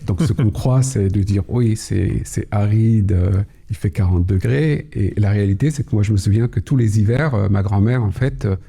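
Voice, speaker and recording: A middle-aged man, native Kabyle speaker, telling his story in an interview, slow and measured with many hesitations. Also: fairly low pitch, vocal fry, dark tone, slightly rough. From Algeria, Kabylie. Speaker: guest, cybersecurity head. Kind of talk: podcast interview.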